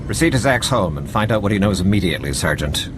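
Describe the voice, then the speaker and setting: An actor playing a cold, ruthless military officer in a video game, formal, precise and menacing. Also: male voice, low-pitched, animated, quick pace, background noise. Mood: commanding. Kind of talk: video game dialogue acting.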